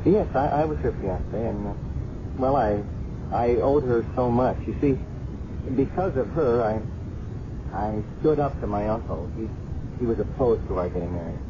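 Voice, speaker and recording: A man's voice: mid-range voice, normal speed, lightly acted. An actor playing a nervous, meek man with a hesitant, stammering manner in a 1970s radio drama. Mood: hesitant, earnest. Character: nervous, meek man cowed by his domineering uncle. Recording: dull, noisy old radio recording.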